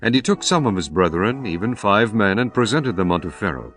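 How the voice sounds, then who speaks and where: A man narrating scripture in a solemn, expressive scripted reading. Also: lowish voice, a little fast. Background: faint music.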